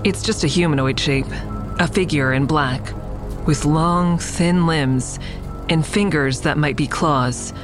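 Low measured lady tender reflective narrator in an audio drama, bit of music under it. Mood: uneasy, suspenseful. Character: reflective woman admiring a friend's mural, tender. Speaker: narrator and lead character.

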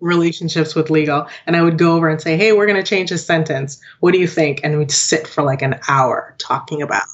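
Guest interviewee, a low-pitched woman, conversational, often laughing and voicing other people. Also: fairly quick, hardly any pauses, highs cut off. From born in Jamaica, raised in western New York. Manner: chatty, laughs often, acts out quoted voices. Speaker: guest, digital product owner. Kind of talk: casual interview chat.

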